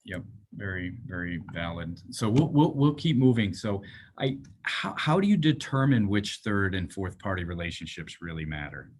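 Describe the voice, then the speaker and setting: A man's voice: sits low, big pitch swings, moderate pace. A male host interviewing a guest in a conversational way, hesitant and often checking with "right?". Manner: hesitant, repeats words, frequent "right?".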